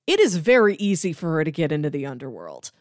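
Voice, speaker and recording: A woman chats casually as a podcast co-host about a book. Her voice sits a little low but moves up and down in a lively way. The sound is dry, without room echo. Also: fast.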